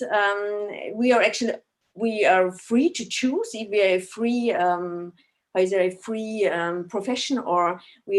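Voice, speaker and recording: A middle-aged woman with an Austrian accent introducing herself informally on a video call, hesitating as she searches for English words. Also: fairly high pitch. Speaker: Pilates trainer and association vice president. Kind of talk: informal self-introduction at an online meeting.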